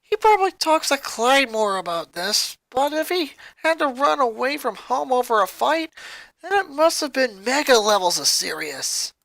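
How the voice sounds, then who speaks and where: A reader putting on a pushy girl, pitched up. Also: very animated, normal speed, vocal fry, a bit bright, no echo, heavily acted, low-quality recording. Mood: urgent, concerned. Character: bossy, exasperated young sister arguing with her brother.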